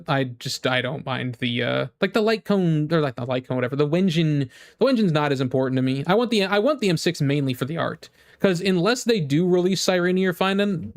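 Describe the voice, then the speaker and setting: A man's voice: a little high, animated, fairly quick, hardly any pauses. A man streaming casually to his viewers, rambling and repeating himself as he gives emphatic opinions in fan-rant style. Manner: rambling, with frequent "like" and repeated phrases. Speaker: game streamer.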